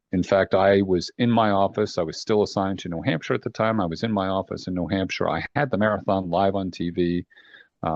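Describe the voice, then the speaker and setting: A man's voice: sits low, no echo, muffled recording. A man in his fifties with a Boston accent, a retired FBI assistant director, telling his stories as a guest with many hesitations and restarts. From New York and Boston. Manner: halting, many "uh" and restarts, earnest. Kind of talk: reflective interview storytelling.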